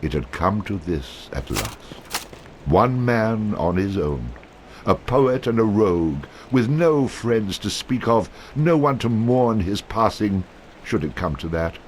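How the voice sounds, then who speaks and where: An actor voicing a solemn male narrator for a game, with a measured, dramatic delivery. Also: on the low side, big pitch swings, darkish tone, slight background noise. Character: grandly solemn male narrator, prone to getting carried away. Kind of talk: scripted storybook narration.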